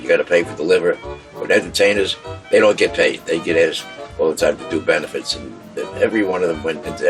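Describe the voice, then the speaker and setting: Guy telling stories fast, low voice, stumbles over repeats, music behind. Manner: quick, repeating himself. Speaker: interviewee. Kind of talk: anecdotal interview.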